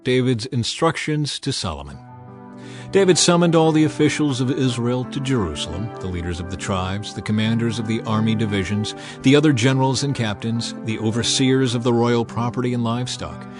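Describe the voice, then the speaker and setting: Male scripture narrator, mid-range voice, measured and solemn scripted read, light music bed. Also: medium pitch. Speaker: Bible narrator. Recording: music behind the voice. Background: background music.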